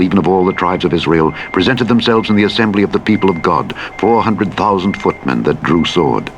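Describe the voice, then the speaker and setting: A man reading scripture as a formal, solemn and measured scripted narration. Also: sits low. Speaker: audiobook Bible narrator. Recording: loud truck engine noise, played as radio. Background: truck simulator engine, vehicle sounds, reversing beeps.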